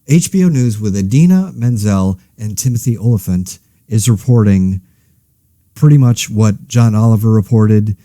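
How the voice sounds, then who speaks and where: A man with a notably dark, soft-edged voice, sitting a little low in pitch. He rambles casually through mock news commentary as a podcast host, deadpan and wry, often breaking off to correct himself. The sound is close and dry. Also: no echo. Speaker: host of a satirical fiction podcast.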